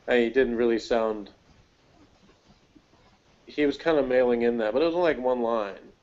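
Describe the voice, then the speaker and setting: A man's voice: medium pitch, measured pace, a little mellow. A man chatting casually as a podcast reviewer, in a rambling, jokey way. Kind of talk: casual chat. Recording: dull, lo-fi voice recording.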